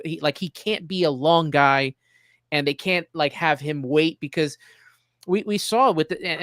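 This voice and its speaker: A man's voice: a little high, fairly bright tone, dry recording, clean studio sound. A man hosting a casual baseball discussion, giving his opinions in an earnest, conversational way. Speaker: sports show host. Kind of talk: casual sports talk.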